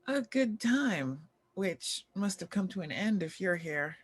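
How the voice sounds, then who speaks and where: Actress as a bewildered, boozy faded starlet in a screen drama, raspy edge, lively pitch swings, halting lines. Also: female voice. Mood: woozy. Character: boozy, bewildered former child star woman. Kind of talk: screenplay table read acting.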